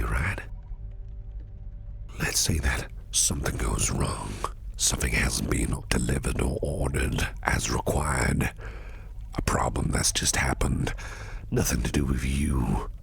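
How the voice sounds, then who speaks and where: A man playing a sinister, flirtatious businessman boss in an ASMR roleplay, speaking close to the mic in a gravelly, breathy near-whisper with a teasing, menacing purr. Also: extremely low pitch, slow pace, a little hiss or hum, exaggerated voice. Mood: probing, sly.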